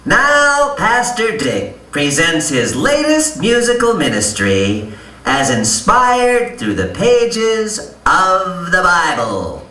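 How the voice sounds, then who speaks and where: A man's voice: sits high, wildly swooping pitch, takes it slowly, bright, forceful tone, exaggerated voice. A man playing an earnest, self-righteous ministry singer in a satirical stage show. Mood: announcing. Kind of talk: satirical stage announcing and singing. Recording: echoey live venue, distant, music behind.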